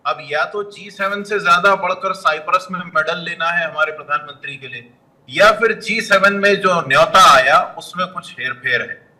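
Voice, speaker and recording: A man speaks in a piercing, forceful tone, a bit high in pitch. He argues as a political panelist, challenging the other side. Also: normal speed, rough recording. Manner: argumentative, challenging. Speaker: opposition party spokesman, debate panelist. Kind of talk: political TV debate argument.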